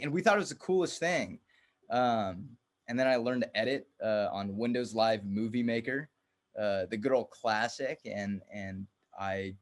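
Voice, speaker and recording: A young man from San Diego, a film director guest, chatting casually and easygoing with lots of fillers. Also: on the low side. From San Diego, California. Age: young adult. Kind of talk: casual chat.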